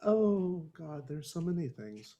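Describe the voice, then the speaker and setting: A man's voice: on the high side, very animated, unhurried, dark tone. Man in wry, casual book discussion. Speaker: podcast discussion host.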